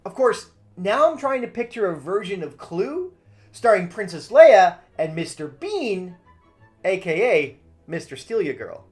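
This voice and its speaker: Lively woman narrating over music. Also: mid-range voice, a little slow, slightly rough. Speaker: host of a film review video. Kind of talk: scripted video essay narration.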